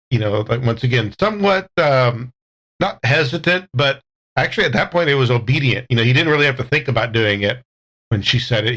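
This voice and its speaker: A man's voice: medium pitch, a bit bright, poor audio. A man playing a tabletop game, casually and thoughtfully describing what his character does and says.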